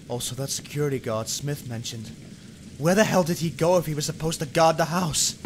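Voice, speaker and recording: A man's voice: medium pitch, background noise, lightly acted. A man acting a hot-tempered, obsessive, weary police detective in an amateur radio drama, muttering and swearing. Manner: brooding, muttering to himself, swearing. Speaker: voice actor in amateur radio play.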